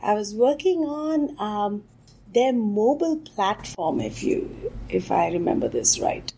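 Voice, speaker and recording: A woman's voice: medium pitch, big pitch swings, measured pace, vocal fry, poor audio. A middle-aged woman from India, a guest answering interview questions reflectively, with fillers and restarts. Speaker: guest, tech executive.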